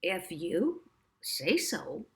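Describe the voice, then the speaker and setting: Lady doing a posh showbiz boss woman, new york type, slow haughty drawl, storybook thing. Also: on the low side, big pitch swings, a bit bright, heavily acted. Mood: dazed. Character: glamorous, haughty New York woman theatre executive, later dazed.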